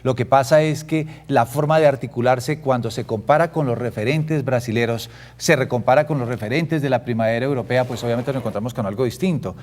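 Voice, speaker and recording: A man talks quickly and insistently in a televised debate, doubling back on words as he presses his point. His pitch sits in the middle of the male range. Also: darkish tone. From Colombia. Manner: argumentative, insistent, repeats phrases. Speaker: guest, director of an anti-corruption network. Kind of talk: heated panel debate.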